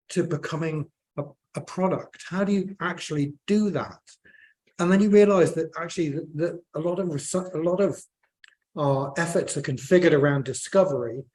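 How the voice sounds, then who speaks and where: Croaky-voiced man, hesitant speech. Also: on the high side, darkish tone. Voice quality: hesitant, many fillers and restarts. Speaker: panel speaker, plant biotechnologist. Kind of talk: unscripted panel remarks.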